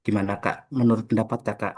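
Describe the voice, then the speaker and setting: A young man from Jakarta hosting a casual group podcast, friendly and relaxed, often pausing on "eh". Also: fairly low pitch. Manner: friendly, relaxed, frequent filler "eh". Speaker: podcast host.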